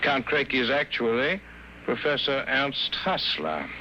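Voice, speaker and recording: An actor playing a coldly polite villainous sanatorium doctor in a 1950s radio drama, clinical and smoothly threatening. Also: male voice, medium pitch, big pitch swings, unhurried, sharp tone. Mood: cold, controlled. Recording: dull, noisy old radio recording.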